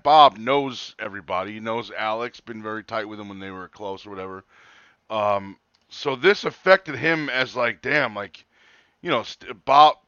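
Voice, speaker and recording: Male co-host, forties, casual storyteller, bright forceful tone, slightly muffled lo-fi sound. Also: mid-range voice, clean tone, no echo. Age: 40s. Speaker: co-host of a wrestling talk show. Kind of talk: casual chat, anecdotes.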